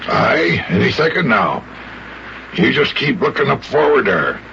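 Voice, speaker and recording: An actor playing a jolly, patient old sea captain in a children's radio play, with folksy nautical talk and repeated phrases. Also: male voice, mid-range voice, big pitch swings, measured pace, vocal fry, bright, forceful tone, full character voice. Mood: jolly, assured. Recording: muffled, noisy old radio recording.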